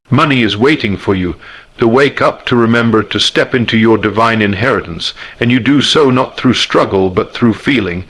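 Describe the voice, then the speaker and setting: A man with a husky, slightly rough voice at a medium pitch gives a scripted motivational speech. His delivery is solemn and emphatic, built on measured rhetorical phrases. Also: a bit bright, rough recording. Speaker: motivational speaker.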